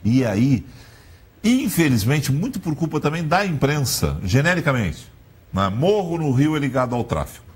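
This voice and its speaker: A man's voice: mid-range voice, big pitch swings, moderate pace, slightly rough. A man hosting a TV interview show, chatty and leaning on "né?" as he talks. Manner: chatty, peppers speech with "né?". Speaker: TV talk show host.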